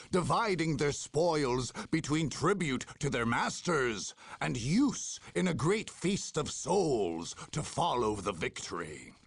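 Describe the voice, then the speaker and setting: Male game narrator: husky, grave and theatrical, with highly animated delivery over music. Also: dry recording. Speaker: narrator of video game cutscenes. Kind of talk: dramatic scripted narration. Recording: music under the voice.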